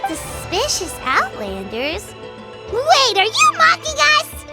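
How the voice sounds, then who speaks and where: A woman voicing a tiny, excitable fairy companion in a video game, childlike, cheeky and indignant. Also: very high-pitched, slow, over music, exaggerated voice. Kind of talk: video game character acting.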